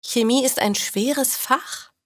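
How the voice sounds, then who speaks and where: A woman's voice: high-pitched, takes it slowly, no echo, studio quality, voice pushed up. Woman voicing a caring, worried mother. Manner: gently doubting, questioning.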